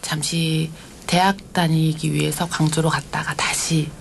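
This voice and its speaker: Deacon from Yeosu, woman, calm and matter-of-fact, very low voice, faint ambience. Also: a little slow, gravelly, a little hiss or hum. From Yeosu, South Korea. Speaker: church deacon. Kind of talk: interview answers.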